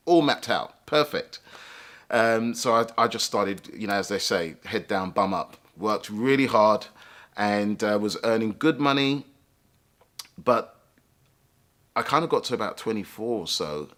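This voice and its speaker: A man with a deep, low-pitched voice tells his own life story. He speaks reflectively and thoughtfully, often pausing to find the right words. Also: fairly bright tone. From England, London. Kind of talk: personal life story told to camera.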